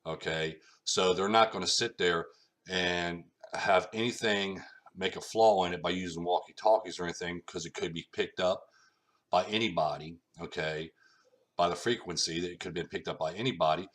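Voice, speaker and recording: A man with a deep, low voice talks through his points one by one. He is middle-aged and speaks in loose, nonstandard grammar. He keeps repeating "okay" as he points at things on a map. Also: moderate pace, a bit bright. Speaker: self-published researcher presenting a theory. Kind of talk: informal lecture pointing at a map.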